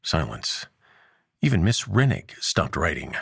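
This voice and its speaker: A man narrating a scripted story in a dramatic, measured way with a gravelly, husky voice. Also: rumbling low, huge pitch swings, fairly bright tone, no echo, clean studio sound.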